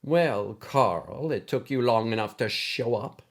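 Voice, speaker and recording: A man voicing a calm, stern older female schoolteacher who is secretly alien, deliberate and slightly ominous, in a storybook. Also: medium pitch.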